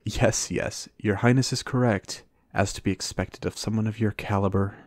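A man playing a reserved, dry-witted American knight in a fantasy ASMR roleplay, speaking in measured, formal banter. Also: lowish voice, steady tone, no echo, natural voice. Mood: reluctant admission.

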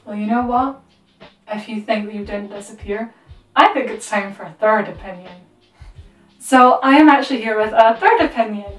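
A woman's voice: medium pitch, moderate pace, recorded from a distance. A woman in her twenties hosting a casual chat with friends, recorded in a small room. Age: 20s. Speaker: host, interviewing friends. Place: small bedroom indoors. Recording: roomy echo, off the mic, faint music.

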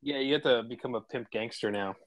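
A man's voice: medium pitch, a little fast, dry recording. A man chatting casually and enthusiastically in a rambling, informal way as host of an online stream. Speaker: host and narrator of online script table reads. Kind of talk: casual feedback chat.

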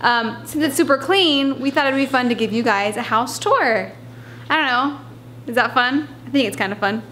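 A woman's voice: on the high side, moderate pace, sharp tone. A young woman chatting casually as she gives a tour of her family house, wistful and full of fillers. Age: young adult. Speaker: family vlogger. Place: suburban family house, indoors. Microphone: handheld camera held close. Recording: echoey rooms, faint noise.

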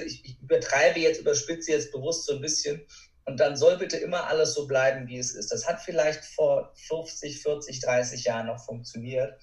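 A man's voice: medium pitch, a little mellow. A man speaking as a coach and interview guest, earnest and motivational in a conversational way, repeating for emphasis. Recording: roomy echo, distant from mic.

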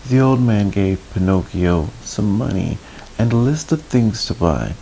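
A man in his thirties reading a children's storybook aloud, deliberately and expressively, with friendly asides. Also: fairly low pitch, expressive, gravelly, mellow, rough recording. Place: small indoor room, seated on a couch. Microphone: clip-on lapel mic. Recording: faint background hiss.

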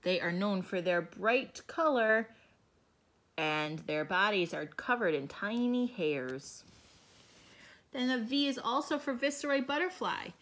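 A woman teacher reading a picture book aloud to young children, explaining each page in a gentle way. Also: mid-range voice, lively intonation, measured pace.

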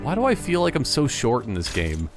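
A man hosting a gameplay video with casual, joking commentary and goofy deadpan asides. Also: medium pitch, brisk, over music. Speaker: gaming video host.

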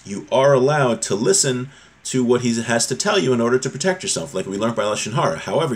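A man in his thirties with an American accent, giving an informal religious lesson in an explanatory, conversational manner. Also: mid-range voice. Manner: explanatory, conversational, switching between recitation and plain paraphrase. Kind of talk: informal lesson, reading Hebrew text aloud and explaining it. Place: small room at home, indoors.

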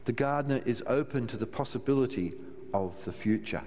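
An older man, a minister, giving a measured sermon from the pulpit of a large church, with a wry touch and slow, emphatic pauses. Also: medium pitch, darkish tone. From Australia. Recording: long church reverb, faint room hiss.

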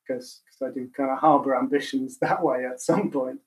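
Quiet soft-spoken man on a distant mic. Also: mid-range voice, steady tone, normal speed. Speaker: guest musician. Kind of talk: casual chat over video call. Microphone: video call mic.

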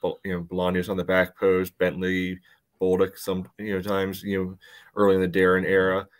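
A man's voice: low-pitched, monotone, normal speed. A man chatting casually about sports on a video call, padding his phrases with filler words. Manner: peppers speech with "you know". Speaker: sports podcast panelist.

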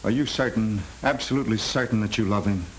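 An American actor in middle age playing a smooth, protective, troubled man in a 1930s film drama, speaking earnestly. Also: fairly low pitch, fast talker, close to an everyday voice, low-quality recording. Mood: grave, resigned. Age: middle-aged. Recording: hissy 1930s film soundtrack.

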